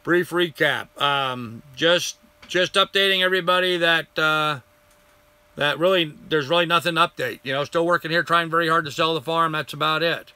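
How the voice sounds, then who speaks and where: An older man hosts a live stream in a bright, clear voice that has gone a little hoarse. He rambles in a friendly way and greets viewers by name as he answers their comments. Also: fairly high pitch, normal speed, no room sound.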